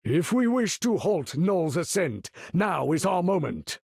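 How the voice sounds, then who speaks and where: An actor playing a proud, imperious older mutant leader in a video game, speaking in a grand, commanding, formal manner with a husky, gravelly voice. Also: male voice, high-pitched, sing-song, measured pace, a little mellow, no room sound, clean studio sound, exaggerated voice. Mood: urgent, commanding. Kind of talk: theatrical video game character acting.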